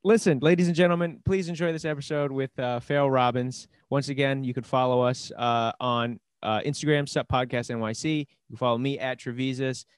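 A young man with a New Jersey accent co-hosts a casual podcast, teasing his friends and joking around. His voice sits at a middle pitch and comes through dry and close on a handheld mic. He talks from a small room at home over a video call. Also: fairly even intonation, brisk, hardly any pauses, smooth, no echo. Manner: joking, teasing, bursts of loud laughter. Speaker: podcast co-host. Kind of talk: casual chat. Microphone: handheld mic close to the mouth on a video call.

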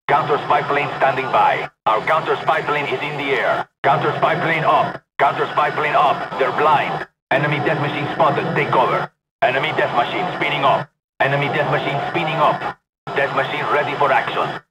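A man's voice: medium pitch, close to an everyday voice. Game announcer: stern, commanding male military officer played by an actor, clipped urgent callouts, heavy background noise.

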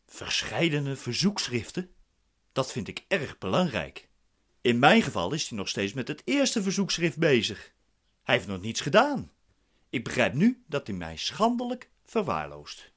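A man's voice: mid-range voice, huge pitch swings, a little slow, a bit bright, heavily acted. A man playing a proud, impatient accused man in a radio drama, curt and formal in manner. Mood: skeptical. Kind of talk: radio drama acting in Dutch.

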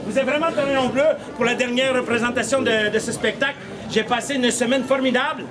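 A man's voice: extremely high pitch, fairly even intonation, fairly quick, background noise. A middle-aged man with a Québécois French accent, playfully working the audience as a street comedian in a theatrical, projecting voice. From Quebec, Canada. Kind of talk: comic street show patter to an audience. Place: outdoor cobbled street among an audience. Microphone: distant camera in the crowd. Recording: outdoor street recording with loud crowd.

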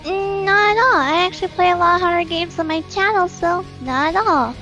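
A woman voicing Marie, a young female angel fox, joining a game playthrough with soft, giggly chat. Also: very high-pitched, a little fast. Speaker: co-player in a voiced let's play. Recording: slightly dull lo-fi audio with background music.

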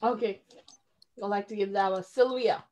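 A middle-aged woman teaching an online children's class, calling names and repeating each answer back in a brisk, encouraging way, heard through a webcam at home. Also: mid-range voice, fairly even intonation, a little mellow. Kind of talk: leading a class roll call on a video call. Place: small room at home, brick-pattern wall. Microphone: video call, webcam.

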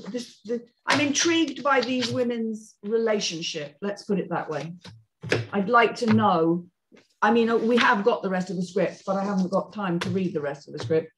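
A woman's voice: lowish voice, a little hiss or hum, rough recording. A middle-aged woman giving an informal spoken critique as panel host, direct, emphatic and explanatory, on a video call from home. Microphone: video call computer microphone.

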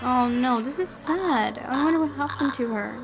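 A woman's voice: high-pitched, normal speed, gravelly, music in the background. A woman let's player reading horror game narration aloud, with casual reactions of her own.

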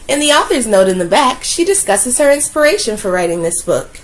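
A woman reading a children's picture book aloud in a gentle, expressive, soothing way. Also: a little high, normal speed, poor audio. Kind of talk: read-aloud storytelling.

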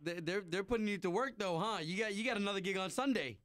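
A man co-hosting a morning radio show, playful and lively in studio banter. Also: high voice, fast talker. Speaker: radio co-host.

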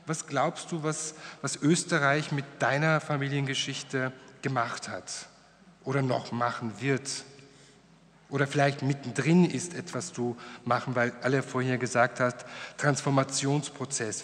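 A man's voice: medium pitch, steady tone, a little slow, a bit bright. Middle-aged male moderator, probing questions, table mic, reverberant hall. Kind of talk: panel discussion. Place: wood-panelled hall, indoors. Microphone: table microphone.

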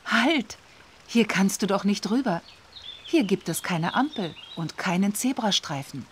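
A woman's voice: medium pitch, expressive, unhurried, slight background noise, natural voice. A woman voicing an encouraging, watchful guide in a children's game, emphatic and instructive. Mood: warning. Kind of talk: children's educational game voice-over.

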